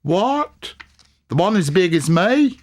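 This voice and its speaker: A man's voice: high voice, sing-song, slow, voice pushed up, heavily acted. A man voicing a cheeky young street boy in a Victorian storybook reading. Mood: cheeky, surprised. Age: child.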